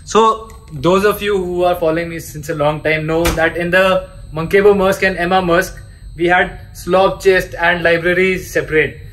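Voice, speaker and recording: Guy in his 30s vlogging a ship tour, high-ish voice, faint hum behind. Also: fairly even intonation, normal speed, fairly bright tone, rough recording. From India. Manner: chatty, enthusiastic, restarts and fillers. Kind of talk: casual vlog tour talk. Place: aboard a cargo ship, outdoor decks and indoor day room. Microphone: handheld camera at arm's length.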